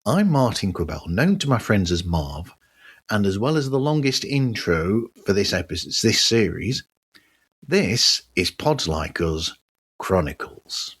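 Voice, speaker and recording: Male podcast presenter, low husky voice, lively intonation, scripted news with wry asides. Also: unhurried. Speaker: podcast host.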